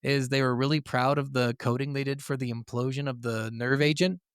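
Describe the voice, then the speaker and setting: A man's voice: mid-range voice, steady tone, a little fast, smooth, no echo, studio quality. A man co-hosting a podcast, chatting casually about games in an informal, conversational way. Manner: conversational, informal, says "like" often. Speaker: podcast co-host. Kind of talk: casual chat, game review.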